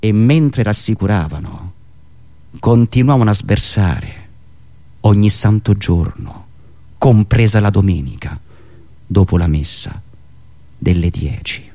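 A man delivering a satirical political monologue in an indignant, sarcastic, declamatory manner with dramatic pauses and repetitions. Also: on the low side, expressive, mellow. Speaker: satirical monologist and radio show host.

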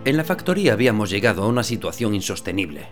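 An actor playing a weary shipyard worker giving sober testimony over a clandestine radio link in a radio drama, with a Spanish accent. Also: male voice, lowish voice, big pitch swings, very fast, music in the background. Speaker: shipyard worker interviewed by phone.

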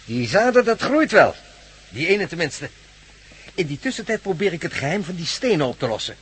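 An actor playing a keen investigator in a radio drama, explaining an experiment eagerly. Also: male voice, fairly high pitch, very animated, moderate pace, fairly bright tone. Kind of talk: Dutch radio drama acting.

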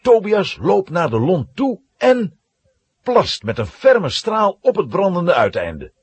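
A man's voice: sits high, wildly swooping pitch, slow, slightly rough, no room sound. A man narrating a scripted children's audio play in a dramatic storytelling manner.

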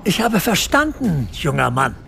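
An actor playing a jovial, self-important personnel manager in a radio drama, speaking with patronising formality. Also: male voice, fairly high pitch, very animated, unhurried, vocal fry, pushed and bright, slight background noise, heavily acted. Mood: solemn, approving.

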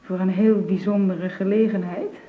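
An actress playing a gentle, polite young woman who works as a governess in a Dutch radio play. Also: medium pitch, steady tone, moderate pace, lightly acted. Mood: playful, affectionate. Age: young adult. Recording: muffled, roomy, noisy old radio recording.

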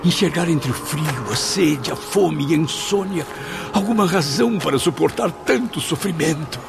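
A man's voice: a little high, expressive, normal speed, vocal fry, over music. An actor playing a tormented, despairing male spirit in a radio drama, dramatic and full of anguish. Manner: dramatic, anguished, erupting into cries. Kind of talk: radio drama acting with solemn narration.

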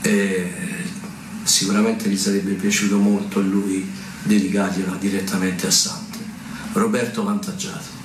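A man's voice: low-pitched, little pitch movement, unhurried, noisy background. A man introducing a song in plain spoken words, as a presenter at a recital. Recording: echoey, distant mic, music behind. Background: plucked guitar.

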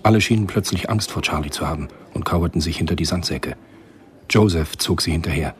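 A man narrating a spy thriller radio drama in a measured, literary storytelling manner. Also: deep voice, natural voice. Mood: grave, measured.

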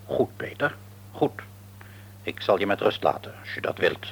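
A man's voice: fairly low pitch, animated, normal speed, creaky, fairly bright tone, breathy edge, heavily acted. An actor playing a shrewd, patronising middle-aged father and businessman in a Dutch radio play, wordy and probing, with a Netherlands accent. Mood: patronising, insistent. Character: shrewd, patronising businessman father, outwardly jovial, secretly domineering. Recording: radio drama recording.

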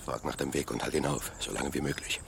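A man's voice: a bass voice, fairly even intonation, fast, creaky, fairly bright tone, slight background noise, heavily acted. An actor playing a man who gives clipped orders as leader of a night raid, in a radio drama. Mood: commanding. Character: curt male leader of a rescue raid.

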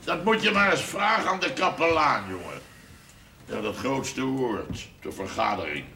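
A man's voice: medium pitch, expressive, measured pace, vocal fry. An actor playing a cynical, sarcastic middle-aged village father who grumbles in naturalistic television drama dialogue. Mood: bitter sarcasm. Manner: sardonic, grumbling. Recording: roomy, distant mic, faint noise.

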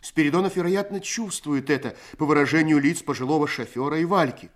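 A man narrating a literary story for a radio play in a measured, expressive storytelling manner. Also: on the high side.

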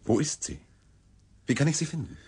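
An actor playing a dogged newspaper reporter in a radio drama, asking terse, skeptical questions in with a husky voice. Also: male voice, medium pitch, expressive, normal speed, darkish tone, a little hiss or hum, natural voice. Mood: probing. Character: dogged male newspaper reporter chasing a scandal.

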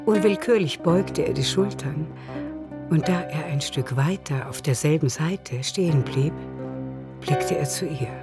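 A woman narrating a literary radio play in the third person, with measured composure. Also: sits low, over loud music. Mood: composed.

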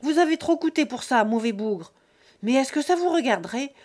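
A man's voice: very high voice, animated, normal speed, darkish tone, voice pushed up. A woman reading aloud and voicing an old, domineering peasant father who roars threats at his children. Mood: furious. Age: older. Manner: roaring, threatening.

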